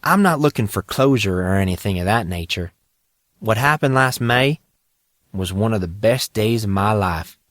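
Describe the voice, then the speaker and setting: A man voicing a calm, eccentric teenage boy genius in an audiobook, cheerful and cryptic in an assured way. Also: lowish voice, moderate pace, no room sound, studio quality. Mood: serene intensity. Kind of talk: audiobook character dialogue.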